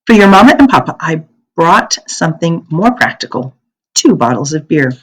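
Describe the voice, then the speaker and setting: A kindly, protective young man in a storybook, read by a woman. He explains things earnestly in a slightly husky voice with an animated rise and fall. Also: deep voice, moderate pace, low-quality recording. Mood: fond. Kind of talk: children's novel read aloud.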